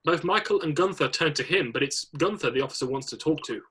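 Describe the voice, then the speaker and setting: A man's voice: fairly high pitch, animated, fast, sharp tone, husky. A man narrating stage directions in a scripted screenplay reading.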